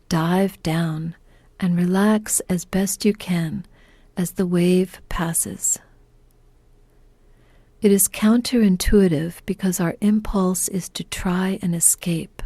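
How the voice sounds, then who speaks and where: A woman leading a guided meditation in a soft, breathy, half-whispered voice, calm and gentle, with unhurried pauses. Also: fairly low pitch, no room sound. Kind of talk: guided meditation, scripted narration.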